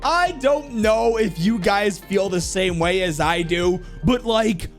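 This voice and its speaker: High-pitched, animated male gaming host. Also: takes it slowly, faint music behind. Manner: chatty, informal, stressing words for emphasis. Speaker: video host. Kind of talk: casual gaming commentary to camera.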